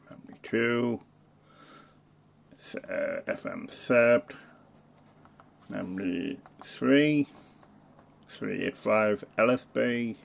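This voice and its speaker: Slow, gravelly man giving a hands-on demo. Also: fairly low pitch, muffled recording. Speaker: radio enthusiast demonstrating a CB radio. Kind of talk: casual hands-on product demonstration.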